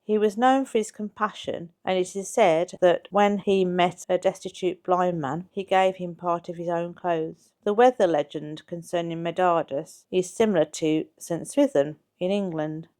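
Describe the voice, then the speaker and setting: A woman's voice: fairly low pitch, darkish tone, clean tone. A woman reading a scripted history narration aloud in a factual, storytelling manner. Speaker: channel co-host narrating a history video.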